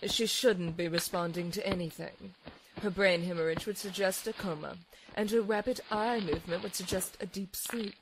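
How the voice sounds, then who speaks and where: A woman playing a cool, blunt scientist in a horror audio drama, measured and dry. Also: lowish voice, noisy background, natural voice. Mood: clinical, grave. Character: cool, clinical female scientist, sardonic and blunt.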